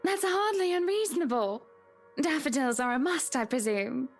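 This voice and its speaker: An actress voicing a genteel, composed wealthy wife in a video game, with polite and refined phrasing. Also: female voice, high-pitched, lively intonation, moderate pace, soft background music. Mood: polite, poised.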